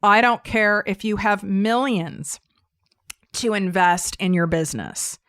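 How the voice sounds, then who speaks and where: Emphatic American woman coaching, crisp and dry. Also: medium pitch, slow pace, fairly bright tone, dry recording. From United States. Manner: emphatic, blunt, swears casually. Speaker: podcast host. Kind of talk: casual solo coaching talk.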